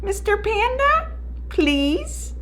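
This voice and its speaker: A woman's voice: extremely high pitch, sing-song, extremely slow, noisy background, voice pushed up, full character voice. A woman reading a storybook, voicing an eager male lemur with very polite, emphatic, pleading courtesy.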